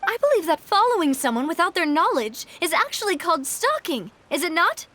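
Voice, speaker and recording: A woman's voice: very high voice, big pitch swings, fairly quick, fairly bright tone, full character voice. A woman playing a proud, determined young noblewoman in a video game, with pointed, polished, theatrical phrasing. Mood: suspicious.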